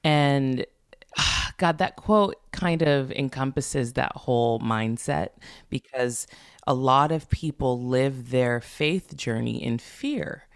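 An earnest woman reflects on her experiences in a relaxed conversation, circling back and restarting her phrases as she thinks. Her voice has a gravelly fry to it. The sound is dry and close, with no room around it. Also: very deep voice, takes it slowly, dry recording. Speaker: guest. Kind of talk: casual conversation.

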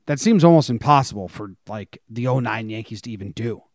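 A man's voice: medium pitch, lively intonation, brisk, a little mellow, no echo, studio quality. A man co-hosting a sports podcast, talking casually and informally as a fan. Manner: informal, conversational. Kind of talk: casual chat, fan discussion.

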